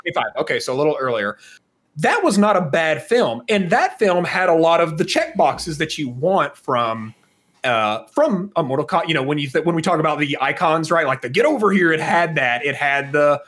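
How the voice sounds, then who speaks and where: A man's voice: fairly high pitch, very animated, brisk, fairly bright tone. A man co-hosting a podcast, talking casually and thinking aloud in a rambling, conversational way. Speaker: podcast co-host. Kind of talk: casual discussion of films and games.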